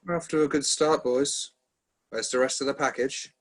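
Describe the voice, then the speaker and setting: A man's voice: medium pitch, steady tone, moderate pace, natural voice. Man playing an ancient, commanding mafia boss. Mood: controlled menace. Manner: commanding, threatening. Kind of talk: amateur screenplay table read.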